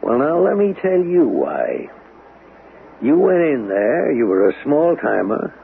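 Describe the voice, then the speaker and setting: An actor playing a hard, skeptical interrogator in a radio drama, speaking in an insistent, sardonic, clipped way. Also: male voice, mid-range voice, sing-song, unhurried, vocal fry. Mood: accusing, insistent. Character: hard, skeptical interrogator pressing a murder suspect. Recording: noisy, narrow-band vintage radio recording.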